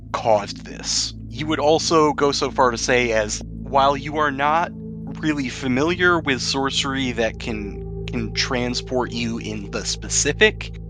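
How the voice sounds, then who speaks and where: A man's voice: mid-range voice, unhurried. A man running a tabletop role-playing game, explaining rules and scenes in detail. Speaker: game master. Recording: faint music behind the voice. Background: faint background music.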